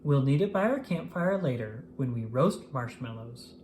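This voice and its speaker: A narrator plays a kindly storybook man looking after a youngster. He speaks in gentle, parental instructions with lively, rising pitch, over faint noise. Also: normal speed, close to an everyday voice. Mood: friendly, helpful. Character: friendly, caring man, guardian of a little monkey.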